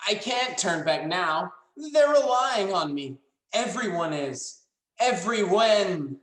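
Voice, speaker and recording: An actor playing an earnest, pleading young time traveler in a melodramatic sci-fi screenplay reading, with halting delivery. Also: male voice, high voice, animated, a bit bright, roomy sound, heavily acted. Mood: weary, resolute.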